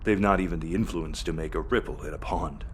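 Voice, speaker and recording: Oily space villain, male actor, very low pompous voice, florid and cowardly, sci-fi drama. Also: fast talker, a little hiss or hum, natural voice. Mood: nervous, grovelling. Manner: florid, stammering when nervous. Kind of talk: sci-fi audio drama acting.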